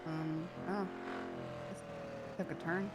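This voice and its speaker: A woman playing a playful, blunt, excitable passenger in an online roleplay game, with a conversational, jokey delivery. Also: deep voice, takes it slowly, very noisy.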